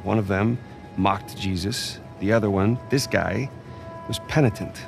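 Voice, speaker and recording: Roguish American conman: low, gravelly, swinging delivery, cajoling, game drama. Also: measured pace, over music. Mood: eager explaining. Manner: animated, cajoling. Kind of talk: cinematic game acting.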